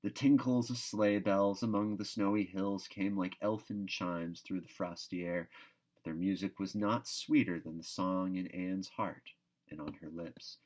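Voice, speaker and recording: A man's voice: sits low, fairly even intonation, measured pace, a little mellow. A man hosting a read-aloud, narrating a classic novel and commenting casually between readings. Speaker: host and reader of a read-aloud series.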